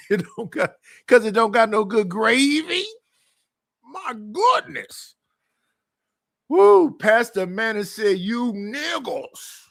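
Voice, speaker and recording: A man's voice: very high voice, sing-song, slow pace, creaky, pauses often, a bit bright. A man giving heated, casual commentary as a livestream guest, profane and laughing between his points.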